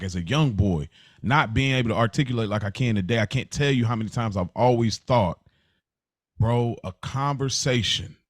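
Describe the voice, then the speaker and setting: A man's voice: lowish voice, no echo, clean studio sound. A middle-aged American man speaking casually and earnestly as a podcast co-host, repeating phrases for emphasis. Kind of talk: casual, heartfelt conversation.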